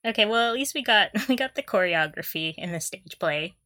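A woman's voice: mid-range voice, animated, brisk, sharp tone, no room sound, studio quality. A young woman co-hosting a casual fan podcast, talking in a giggly, gushing way. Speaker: podcast co-host.

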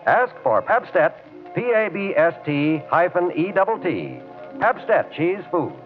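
A man's voice: high-pitched, sing-song, a little slow, loud music behind the voice. A man reading a scripted 1940s radio advertisement as a commercial announcer. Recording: tinny phone-like 1940s radio recording.

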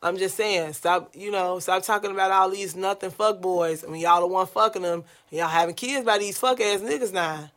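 A young woman with a New York accent and a deep-sounding voice, co-hosting a casual podcast in a blunt, profane, animated way. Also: moderate pace, few pauses. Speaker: podcast co-host.

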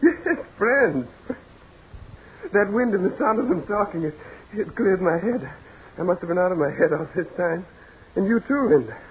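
A man's voice: high voice, sing-song, moderate pace, pauses often. Proud businessman on edge, agitated theatrical delivery, 1940s radio horror, faintly noisy scratchy tinny recording. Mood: feverish excitement. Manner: agitated, repetitive, theatrical insistence. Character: proud, practical businessman fighting off mounting dread.

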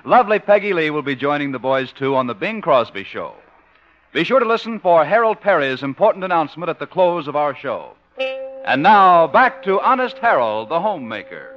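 A man's voice: a little high, big pitch swings, a little fast. A man announcing and narrating a 1950s radio show in a scripted style. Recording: muffled vintage radio recording with music.

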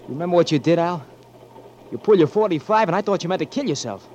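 A man's voice: fairly high pitch, lively intonation, quick pace, a little mellow, dull audio, slight background noise, close to an everyday voice. An actor playing a loyal, blunt marine buddy who confronts his friend, in 1940s radio acting. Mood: confronting.

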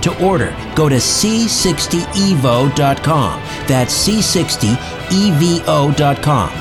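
A man's voice: a little high, lively intonation, slow pace. Male podcast host with music underneath. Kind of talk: scripted host narration, ad reading and interviewing.

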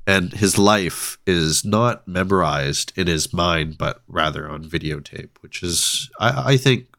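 A man co-hosting a casual film podcast, relaxed and thoughtful, with a stuffed-up nose. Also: deep voice, slow, creaky, no echo, clean studio sound. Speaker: podcast co-host.

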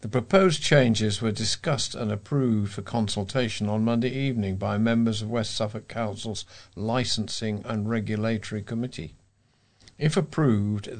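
A man's voice: medium pitch, darkish tone. A British man reading local news items aloud as a volunteer newsreader.

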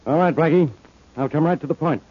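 An actor playing a gruff, sarcastic police inspector in an old-time radio detective drama, blunt and needling with a rough-edged voice. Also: male voice, fairly high pitch, brisk, lo-fi sound. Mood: exasperated, accusing. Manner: blunt, needling, hard-boiled delivery.

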